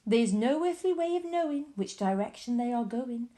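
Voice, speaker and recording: An eccentric, excitable chocolate-maker man, as a woman reader puts him on, his voice pitched high and swooping all over. He exclaims and half-sings his lines in a theatrical storybook manner. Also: moderate pace, lightly acted. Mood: gleeful. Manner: theatrical, exclamatory, sing-song. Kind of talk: storybook read-aloud.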